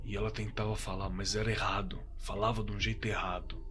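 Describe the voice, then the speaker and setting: A man with a Brazilian accent playing a shaken young man recounting a frightening night in a halting, colloquial way, in a horror audio drama. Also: lowish voice, flat delivery, fairly bright tone, soft background music, lightly acted. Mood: frightened. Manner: halting, repeating words, colloquial. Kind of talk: dramatized first-person horror story, told conversationally.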